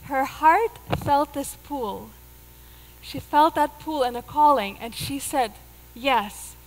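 Woman, Russian accent, thirties, high-pitched, earnest live talk, headset mic, theater stage. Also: long reverb tail, rough recording. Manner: earnest, personal storytelling with light laughs. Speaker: speaker.

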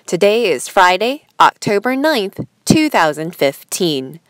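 A woman host reading scripted news headlines in a formal, measured newsreader manner. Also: medium pitch, big pitch swings, no echo.

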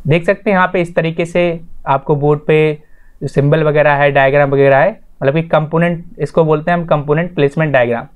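A man's voice: fairly high pitch, steady tone, fairly quick, clear, resonant tone. A man hosting an informal explanatory voice-over lesson, addressing viewers directly in an instructional way and restating his points. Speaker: tutorial host.

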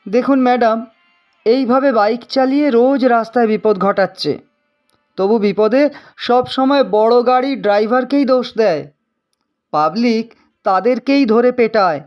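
A man's voice: extremely high pitch, normal speed, clear, resonant tone, highs cut off, soft background music. A man playing an aggrieved car driver in an audio drama, complaining insistently to passengers.

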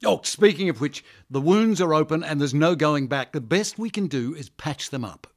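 A man's voice: fairly high pitch, expressive, normal speed. An actor playing a flustered, fussy male public relations manager who talks in analogies in a radio comedy. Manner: flustered, fussy, fond of metaphors.

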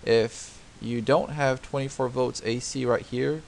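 A man's voice: medium pitch, steady tone, unhurried, vocal fry, slight background noise. A man narrating a home repair tutorial over a diagram, explaining step by step with many fillers and restarts. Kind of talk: unscripted screen-recorded tutorial narration.

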